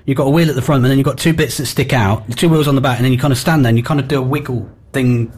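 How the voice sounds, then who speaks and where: A British man hosting a radio show at a brisk clip, with a mid-pitched voice. He chats and interviews informally, rambling a little and joking along. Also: steady tone, darkish tone, breathy edge. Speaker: radio presenter. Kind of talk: chatty radio banter and interviewing.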